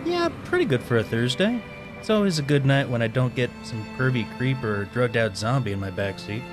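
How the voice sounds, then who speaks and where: A man's voice: mid-range voice, big pitch swings, a little fast, close to an everyday voice. Audio drama: male actor as a good-natured, weary taxi driver, casual and wry, varied intonation over music. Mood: wry, friendly.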